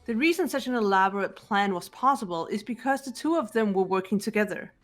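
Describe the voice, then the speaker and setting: A woman's voice: mid-range voice, expressive, fairly quick, faint music behind, natural voice. A woman playing a cool, confident, analytical teenage girl detective in an amateur video game dub, assured and precise. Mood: explaining.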